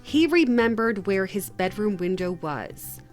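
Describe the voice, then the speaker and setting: A woman reading a children's picture book aloud in an expressive, measured storytelling manner. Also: mid-range voice, faint music behind. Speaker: read-aloud host.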